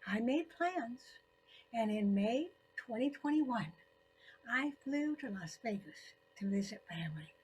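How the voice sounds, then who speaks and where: Slow-spoken elderly woman telling a story. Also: fairly high pitch, lively intonation, a little mellow, a little hiss or hum, low-quality recording. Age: 70s or older. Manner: deliberate phrasing with frequent pauses. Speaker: storyteller narrating own story. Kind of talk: scripted personal story narration.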